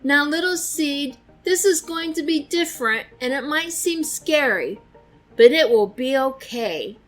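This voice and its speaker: A woman voicing a kind male farmer in a children's storybook, gentle and reassuring. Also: high voice, expressive, a little slow, soft background music, voice pushed up. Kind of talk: children's storybook read-aloud.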